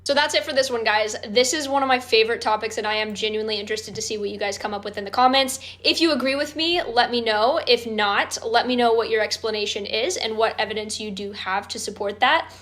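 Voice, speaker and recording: A woman's voice: fairly high pitch, fast talker, few pauses, fairly bright tone. A woman speaking as an online video creator, making an argument directly to her viewers.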